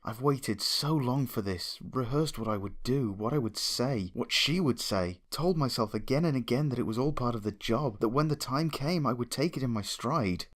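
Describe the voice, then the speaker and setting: Male narrator, medium pitch, dramatic story reading, scripted. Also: lively intonation, normal speed, few pauses. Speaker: fan fiction reader, narrator.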